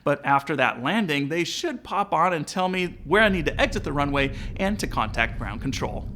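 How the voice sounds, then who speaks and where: A man narrates a lesson in a fairly high voice. He explains things informally, in the role of a pilot who is presenting instruction. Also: animated, moderate pace, bright, forceful tone, a little hiss or hum.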